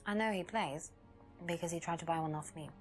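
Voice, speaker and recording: A woman's voice: fairly low pitch, a little fast, soft background music, lightly acted. Woman acting a guarded young witness, hesitant. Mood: reminiscing. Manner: hesitant, evasive, defensive. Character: guarded young female witness, uneasy former band singer. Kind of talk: acted dialogue in an FMV mystery game.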